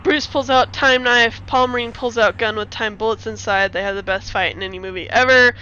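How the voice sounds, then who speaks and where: A woman's voice: a little high, steady tone, fairly quick, pushed and bright, clean tone, highs cut off. A woman streamer giving a comic dramatic reading of a screenplay with casual, giggly asides. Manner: giggly, self-interrupting.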